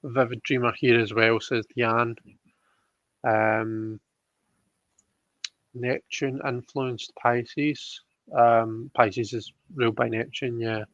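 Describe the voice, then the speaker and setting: A man hosts a casual live stream, reading comments aloud at an unhurried, slow pace. The sound is dull and lo-fi, with noticeable room echo around his voice. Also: mid-range voice, flat delivery, fairly bright tone.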